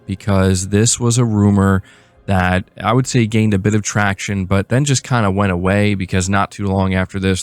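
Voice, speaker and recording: A man's voice: low-pitched, smooth. A man giving casual, conversational gaming news commentary as a host.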